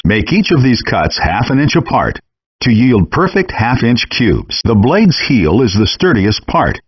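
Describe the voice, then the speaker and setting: A man reading scripted cooking-game instructions in a measured, clearly enunciated, explanatory way, in dull compressed audio. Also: lowish voice, no echo.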